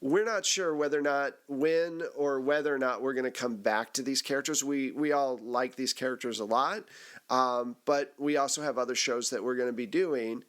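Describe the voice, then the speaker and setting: Man, middle-pitched voice, casual host, conversational, round-table debrief. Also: normal speed, a bit bright, smooth. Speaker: game master and host.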